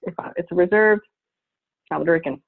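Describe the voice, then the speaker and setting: A woman in her forties from New York, a podcast guest chatting casually with lots of fillers and pauses. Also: lowish voice, gravelly. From Long Island, New York. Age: 40s. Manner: rambling, frequent fillers and laughs. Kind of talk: casual interview chat. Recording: phone-like narrow sound.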